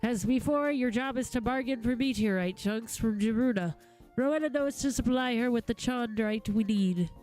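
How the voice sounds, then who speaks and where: A woman voicing a flamboyant, grandiose gentleman inventor from a fantasy game, with florid, theatrical phrasing. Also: a little high, normal speed, faint music behind, close to an everyday voice, rough recording. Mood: brisk, confident. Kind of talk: live read-aloud of game dialogue.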